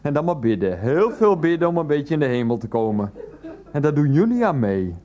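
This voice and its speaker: A man's voice: fairly high pitch, sing-song, a little fast, warm tone, highs cut off. A man playing a fussy, hospitable man of the house in a radio drama, repeating himself in little stock phrases. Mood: indignant. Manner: repetitive fussy reassurances, filler phrases.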